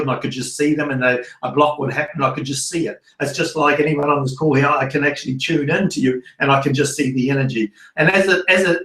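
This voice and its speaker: Middle-aged Kiwi-accented male coach, rambling delivery with restarts, slightly high voice, roomy sound. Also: a bit bright. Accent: New Zealand. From New Zealand. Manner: loose and rambling, with many restarts and fillers. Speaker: prosperity coach. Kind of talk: online masterclass talk.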